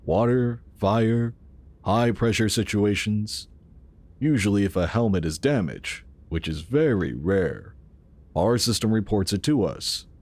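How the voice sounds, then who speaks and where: A man's voice: lowish voice, animated, a little slow, a little mellow. A man playing a benevolent, reassuring founder in a sci-fi audio drama, speaking with formal courtesy. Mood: benevolent assurance. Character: benevolent, reassuring male founder of futuristic organization.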